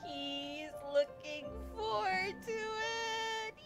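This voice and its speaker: Brief: excitable woman streamer, high lively voice, loud game music behind. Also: extremely slow. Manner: gushing, emotional, rambling, swearing. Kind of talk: excited gaming stream commentary.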